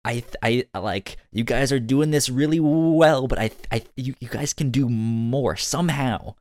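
A man hosting a podcast, chatting casually and haltingly, often repeating words as he works out his thought. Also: medium pitch, a little mellow, no echo, studio quality. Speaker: podcast host. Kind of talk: casual review discussion.

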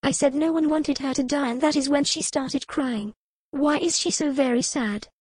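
A woman's voice: high-pitched, moderate pace, a little mellow, dry recording, pitched above the performer's own voice, close to an everyday voice. Woman doing anxious little girl, pleading. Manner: pleading, worried. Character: earnest anxious little girl, about seven.

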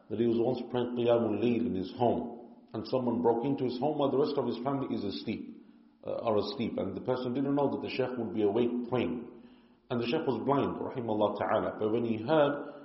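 A man's voice: mid-range voice, fairly quick. A man teaching a religious lecture who tells a moral story, using Arabic honorifics and sometimes restarting his phrases. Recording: echoey, dull room with reverb.

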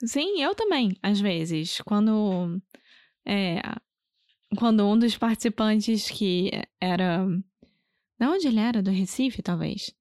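A woman from Brazil co-hosting a casual podcast, chatty and quick to laugh. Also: mid-range voice, expressive, darkish tone, dry recording, studio quality. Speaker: podcast co-host. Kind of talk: casual language podcast chat.

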